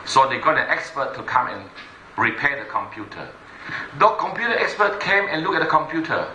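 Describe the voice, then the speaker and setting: A man's voice: on the high side, big pitch swings, fairly quick, pushed and bright, echoey room, a little hiss or hum, low-quality recording. A middle-aged man giving an emphatic stage presentation to an audience, repeating his key points. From Malaysia. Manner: emphatic, repetitive, addresses the audience directly. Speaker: motivational business speaker.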